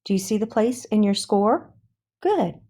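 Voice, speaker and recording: A woman reading a children's storybook, voicing an encouraging, exacting woman orchestra teacher who gives brisk, bright instructions. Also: medium pitch, lively intonation, voice pushed up. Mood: bright, instructive. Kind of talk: children's storybook read-aloud.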